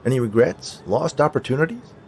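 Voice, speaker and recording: A man performs a skeleton guide from a spooky storybook, casual and unfazed. He explains things chattily in a raised pitch, over a clearly noisy background. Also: expressive, brisk. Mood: prodding, casual.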